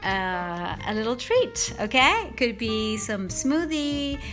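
A woman's voice: a little high, sing-song, slow pace, fairly bright tone, faint music behind. Bubbly woman. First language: Spanish. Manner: upbeat, bubbly, encouraging, with frequent laughs. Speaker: podcast host and fitness coach. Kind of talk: enthusiastic motivational podcast talk.

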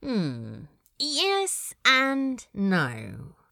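A woman's voice: a little high, wildly swooping pitch, drawn-out words, gravelly, sharp tone, no echo, studio quality, exaggerated voice. A woman voicing a smitten, wry male comedian with a teasing drawl, in a fan fiction audio drama. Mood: teasing, tender. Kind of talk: fan fiction podfic character acting.

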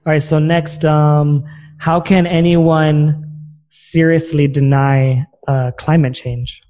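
A man's voice: on the high side, flat delivery, takes it slowly, a little mellow. A man hosting an interview, speaking in a casual, conversational way. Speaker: interviewer, podcast host.

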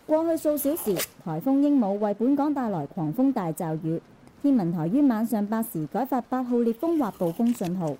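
A woman with a Hong Kong accent playing a formal news reporter, reading a measured bulletin in a radio drama. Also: on the high side, expressive, mellow, slight background noise, close to an everyday voice, rough recording. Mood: formal, serious.

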